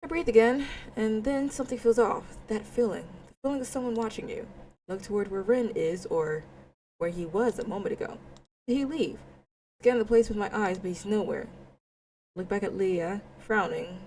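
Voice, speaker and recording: A woman's voice: mid-range voice, normal speed, a little mellow, slight background noise, rough recording. A woman narrating a visual novel in a let's play, reading expressively and dropping in casual side remarks. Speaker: gaming video creator reading a visual novel aloud.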